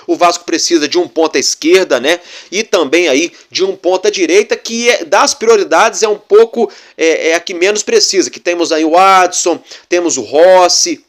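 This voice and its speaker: A Brazilian man hosts his own fan channel and talks through club news in a loose, chatty flow. His voice sits a little higher than most men's, and he keeps coming back to the same friendly fillers as he goes. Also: moderate pace, hardly any pauses. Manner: chatty, repeats fillers like "né" and "galera". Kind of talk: casual football fan commentary.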